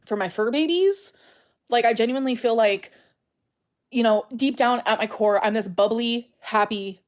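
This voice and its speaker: A woman speaking in a personal confessional vlog, earnest, halting and self-pitying. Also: fairly high pitch, darkish tone. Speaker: vlogger.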